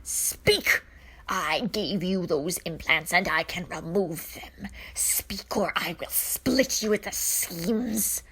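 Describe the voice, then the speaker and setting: A woman's voice: on the low side, slow pace, fairly bright tone, slightly rough, exaggerated voice, poor audio. A woman voicing a furious, menacing alien witch in a fan fiction reading, commanding and threatening in snarls and hisses. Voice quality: hissing, snarling.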